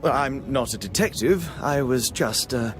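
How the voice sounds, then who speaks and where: An actor playing a proper, earnest gentleman in a mystery game, polite but easily flustered. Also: mid-range voice, normal speed, over music, lightly acted. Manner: earnest, polite, hesitant under questioning. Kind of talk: video game voice acting.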